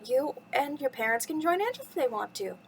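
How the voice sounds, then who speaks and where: A woman's voice: high-pitched, big pitch swings, normal speed, vocal fry, slight background noise. A woman in her twenties speaking as an upbeat host reading bedtime stories to young children. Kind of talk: cheerful storytime talk and expressive story reading.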